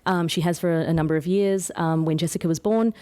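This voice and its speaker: An Australian woman with a slightly low voice presents a research paper. She speaks informally, in a conversational, self-deprecating way, as an academic addressing a symposium. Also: steady tone, quick pace, darkish tone. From Australia, born in England.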